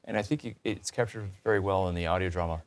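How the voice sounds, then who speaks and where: Male interview host, lower-pitched, conversational delivery, asking questions and offering commentary. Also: fast. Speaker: talk show host.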